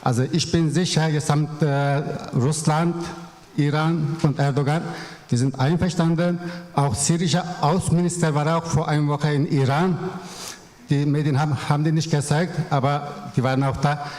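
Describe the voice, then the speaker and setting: A woman making a contribution at a seminar, speaking halting, German. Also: sits low, fairly even intonation, a little mellow. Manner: halting, non-native German. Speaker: audience member. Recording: echoey hall.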